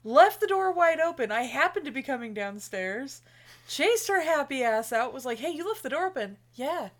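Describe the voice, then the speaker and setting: A woman co-hosting a casual chat, dropping deadpan jokes. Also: sits high, normal speed. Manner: deadpan jokey asides. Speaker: podcast co-host.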